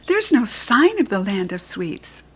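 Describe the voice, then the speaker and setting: A woman with an American accent voicing a gentle, determined young fairy girl in a children's storybook reading. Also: medium pitch, big pitch swings, unhurried, husky. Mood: earnest.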